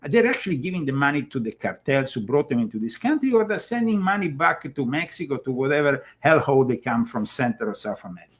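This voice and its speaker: Halting Italian-accented male talk host. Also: mid-range voice, very animated. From Italy. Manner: emphatic, halting with many fillers and restarts. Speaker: show host. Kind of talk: political commentary talk show.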